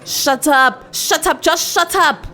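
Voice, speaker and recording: Reader doing a bossy south african tavern woman, high loud brazen voice, story reading. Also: slow pace, a faint crowd, heavily acted. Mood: furious. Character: brash, domineering women of a township drinking house. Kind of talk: acted short story reading.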